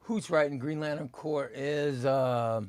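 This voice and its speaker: A man in his fifties chatting on a live comics stream. He talks at an unhurried pace in a slightly high voice, rambling and circling back over his own phrases as he reads comments aloud. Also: steady tone, clear, resonant tone. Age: 50s. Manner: rambling, repeats phrases, slow and easygoing. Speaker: comics livestream host. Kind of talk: casual chat reading live comments.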